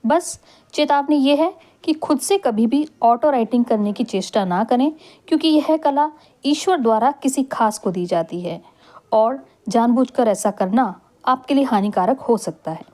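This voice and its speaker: A woman with a fairly high voice and a dark, mellow tone delivers scripted narration. She speaks as a channel host introducing an audiobook. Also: animated, a little slow.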